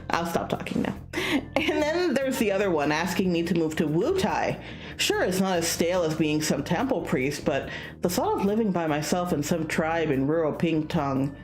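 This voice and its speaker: Animated lower-pitched woman streamer. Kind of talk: visual novel playthrough, reading aloud with casual asides.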